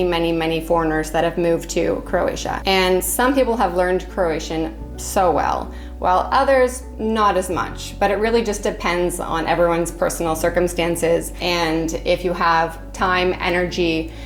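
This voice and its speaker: A Canadian woman vlogging to camera in a chatty, friendly way, full of "like" fillers. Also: fairly low pitch. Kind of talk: casual talk to camera.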